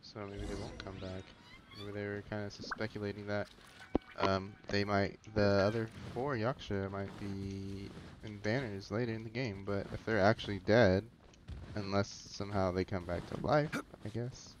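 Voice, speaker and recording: A man's voice: fairly low pitch, little pitch movement, normal speed, slight background noise. A man giving casual gameplay commentary as a streamer, musing aloud as he plays. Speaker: game streamer. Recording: muffled lo-fi mic, game music behind.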